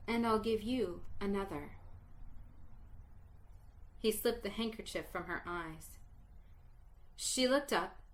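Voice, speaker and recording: A woman reading a story aloud with dramatic pauses, dropping to a hush at the sad parts. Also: medium pitch. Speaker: reader.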